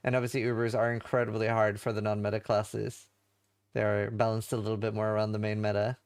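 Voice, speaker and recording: A man's voice: fairly low pitch, level tone, a little fast, smooth, no echo, clean studio sound. A man hosting a casual post-show interview, asking upbeat questions with many fillers. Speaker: show host.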